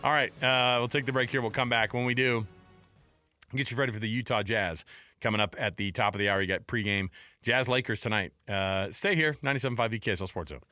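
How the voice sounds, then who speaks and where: Bright-voiced man hosting sports radio. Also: mid-range voice, quick pace, dry recording, faint music behind. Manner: informal, bantering. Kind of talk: casual sports talk with sponsor reads. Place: radio studio. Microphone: close studio microphone.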